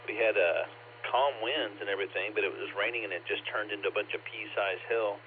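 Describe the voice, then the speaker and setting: Low, scratchy-voiced man over ham radio. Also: a little fast. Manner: rambling, chatty storytelling. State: scratchy, bassy voice from a cough. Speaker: ham radio net check-in. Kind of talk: casual on-air chat. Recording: lo-fi radio transmission, faint static.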